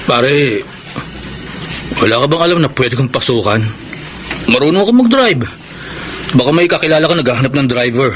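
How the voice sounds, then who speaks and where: An actor as stubborn Filipino husband, noisy recording. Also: male voice, mid-range voice, lively intonation, normal speed, long pauses, fairly bright tone, natural voice. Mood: downcast. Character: proud, stubborn, defensive jobless husband and father. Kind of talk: Filipino radio drama acting. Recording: noisy radio drama track.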